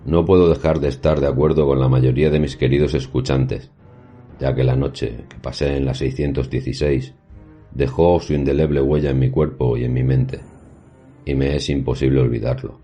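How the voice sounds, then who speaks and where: Very deep-voiced actor as erudite professor, horror tale. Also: male voice, steady tone, dark tone. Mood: grave, reflective. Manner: formal, measured, literary diction. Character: erudite male professor recounting his paranormal experiences. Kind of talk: scripted horror audio drama narration. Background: music.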